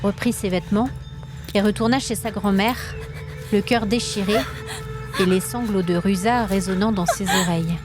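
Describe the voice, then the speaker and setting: A woman storyteller reading scripted dramatic narration in an expressive, suspenseful manner. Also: medium pitch, moderate pace, over music.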